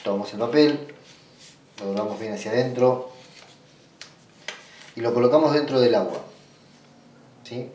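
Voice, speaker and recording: A man's voice: mid-range voice, unhurried, pauses often, darkish tone, a little hiss or hum. Argentine man giving instructions, distant and roomy. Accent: Argentine Spanish. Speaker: ritual practitioner giving a tutorial. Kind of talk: informal step-by-step demonstration. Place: small indoor room at a table. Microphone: camera mic away from the speaker. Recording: roomy sound, distant microphone.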